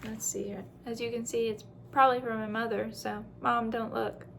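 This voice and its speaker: A woman's voice: a little high, flat delivery, normal speed, gravelly, a bit bright, a little hiss or hum. A woman chatting casually through a craft show-and-tell as host, in a rambling way with self-deprecating asides.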